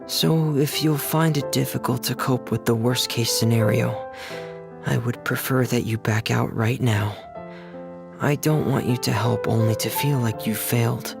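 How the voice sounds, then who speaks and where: A man voicing a gentle, reserved teenage boy in a video game, hesitant, soft-spoken and careful. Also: medium pitch, unhurried, creaky, music in the background. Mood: grave.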